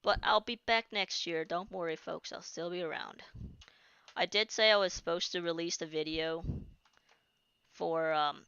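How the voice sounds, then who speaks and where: Woman game streamer, kinda low voice, super clear, just chatting and restarting, dry close mic. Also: fairly even intonation, normal speed, fairly bright tone, dry recording. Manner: rambling, chatty, trails off and restarts. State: starting to lose her voice. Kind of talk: casual live-stream commentary. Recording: dull lo-fi stream audio.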